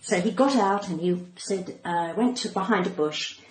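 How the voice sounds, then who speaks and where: A woman's voice: medium pitch, moderate pace. A middle-aged woman with a cut-glass British accent, a comedian telling stories in a theatrical, conversational way and acting out the dialogue. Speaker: comedian and podcast guest. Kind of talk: animated conversational storytelling. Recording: roomy echo, faint background noise.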